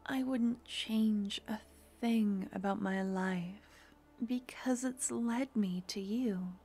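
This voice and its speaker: A woman voicing a tender, devoted woman in love, speaking softly and often in a whisper straight to the listener. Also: medium pitch, slow pace, slightly rough. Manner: soft, intimate, often whispering. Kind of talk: intimate audio roleplay to the listener.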